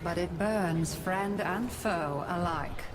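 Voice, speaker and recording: An actress playing a sage storyteller narrating moral fables in a game, measured and cautionary. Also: female voice, on the low side. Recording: clear ambient noise behind the voice.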